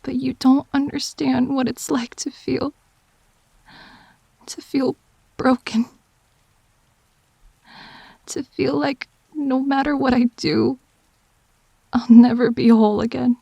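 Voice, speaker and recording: A woman's voice: sits high, steady tone, slow, vocal fry, pauses often, warm tone, breathy edge, no echo, exaggerated voice, poor audio. A woman playing a wounded, weary girlfriend in an audio drama, speaking hesitantly with a fragile voice. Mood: sad, regretful.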